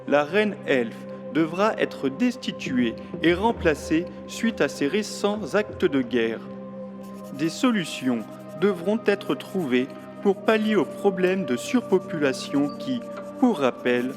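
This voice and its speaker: A ceremonious herald acted by a man presides over a fantasy council in an audio drama. He proclaims slowly in a fairly high voice that swings expressively. Clear music plays underneath.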